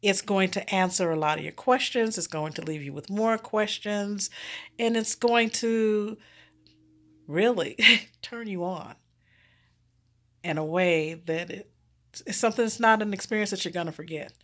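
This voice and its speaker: A woman author speaking candidly and informally to an audience, expressive and emphatic, repeating key words for effect. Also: mid-range voice, unhurried, long pauses. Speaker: erotic romance author promoting their own book.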